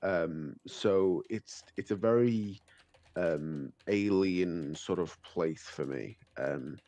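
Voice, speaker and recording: Male guest, hesitant and full of ums, very low, slow delivery. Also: dark tone, no echo. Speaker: podcast guest. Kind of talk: casual chat.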